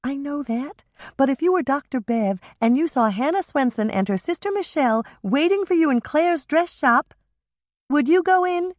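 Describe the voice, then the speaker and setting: An eager, chatty younger sister , put on by a woman reading a storybook aloud, with a voice pitched noticeably above the narrator's own. She jumps between tones in lively, excited questions. The read is dry and close to the mic. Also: normal speed, no echo.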